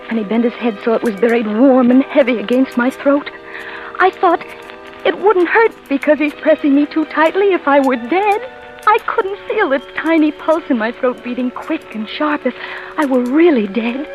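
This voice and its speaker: Actress doing a nervous young wife, hushed and uneasy, old radio play with music behind, slightly high voice. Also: female voice, normal speed, lo-fi sound, natural voice. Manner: hushed, uneasy first-person narration. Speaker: lead actress and narrator. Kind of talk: 1940s radio drama acting. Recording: 1940s radio broadcast with music.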